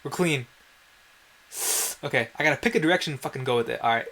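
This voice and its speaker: Guy doing a casual lets play, wry and self-deprecating, swears a bit, medium pitched voice. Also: fast, vocal fry, pushed and bright. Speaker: let's play host. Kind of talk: casual gameplay commentary.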